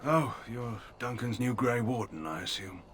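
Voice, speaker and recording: An actor playing a stern, proud older army general in a video game, speaking in a clipped, dismissive, formal way. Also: male voice, medium pitch, moderate pace, noisy background, close to an everyday voice.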